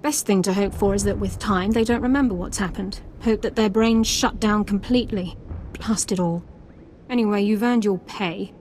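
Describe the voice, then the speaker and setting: A woman's voice: medium pitch, normal speed, darkish tone, a little hiss or hum, natural voice. An actress playing an angry female military officer in a video game, terse, sardonic and disgusted. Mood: bitter anger. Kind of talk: video game cutscene acting.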